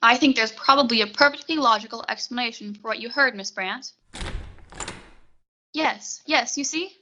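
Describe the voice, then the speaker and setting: A woman's voice: a little high, moderate pace, pushed and bright, muffled recording. A young woman playing a prim, formal, coolly deferential secretary in a 1940s-style suspense radio play. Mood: calm, placating. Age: young adult.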